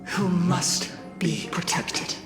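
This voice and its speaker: Earnest gentle hero buddy from a game, slow talking, reverby with music behind. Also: male voice, sits high, steady tone. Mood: earnest, resolute. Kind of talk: scripted dramatic game dialogue. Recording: hall reverb, music underneath.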